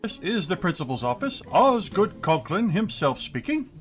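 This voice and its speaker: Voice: mid-range voice, big pitch swings, moderate pace, soft background music, close to an everyday voice. An actor playing a pompous, grouchy middle-aged male school principal in a radio sitcom, speaking formally. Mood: awkward, formal. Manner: pompous, formal, hesitant when cornered. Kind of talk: old-time radio sitcom acting.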